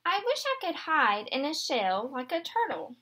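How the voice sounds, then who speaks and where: High, wistful little storybook chameleon played by a woman. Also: moderate pace, full character voice. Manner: wistful, expressive storybook wishing. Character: small chameleon, wistful, wishing to be other animals.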